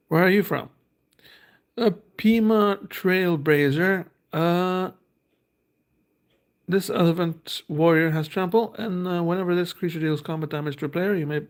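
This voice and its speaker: A man's voice: sits high, measured pace, pauses often. A man, hosting a casual unboxing and reading card text aloud in a hesitant, thinking-aloud way. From Norway. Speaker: host.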